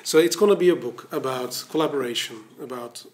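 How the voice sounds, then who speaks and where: A man's voice: medium pitch, brisk, darkish tone. A man in his forties hosting an interview, giving short encouraging replies, on a desk mic in a small office studio. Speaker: host. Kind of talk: casual interview talk.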